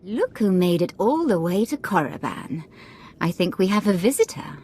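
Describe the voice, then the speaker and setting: An actress playing a menacing, playfully sadistic Sith lord in a video game, sweetly mocking and imperious. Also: female voice, medium pitch, sing-song, moderate pace, darkish tone, slightly rough. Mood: mocking, cruel. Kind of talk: scripted game dialogue.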